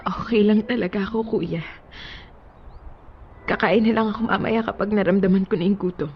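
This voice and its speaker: A woman's voice: mid-range voice, fairly even intonation, normal speed, breathy edge, dull audio. An actress playing a grieving, pleading young woman who has lost her partner, in a Tagalog radio drama. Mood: subdued, sad.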